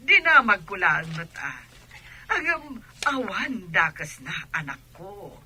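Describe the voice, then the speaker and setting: A woman's voice: mid-range voice, very animated, takes it slowly, sharp tone, slightly rough, full character voice. A woman playing an older Ilocano mother in a radio drama, unhurriedly coaxing her grown daughter in a teasing way. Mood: teasing, affectionate.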